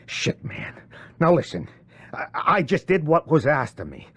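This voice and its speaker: Animated, crude corrupt cop. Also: male voice, fairly high pitch, measured pace, breathy edge, no room sound, heavily acted. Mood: nervous, evasive. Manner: foul-mouthed, sneering, streetwise. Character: crude, hostile, corrupt male police officer, evasive under pressure. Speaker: video game character. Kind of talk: cinematic game dialogue.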